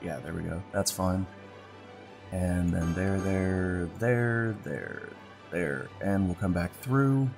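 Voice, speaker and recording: A man with a very low voice and a stuffy, congested sound talks through his gameplay in a relaxed, thinking-aloud way, often repeating single words as he clicks. Clear background music plays under his voice the whole time. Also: takes it slowly. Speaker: let's play host. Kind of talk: casual gameplay commentary.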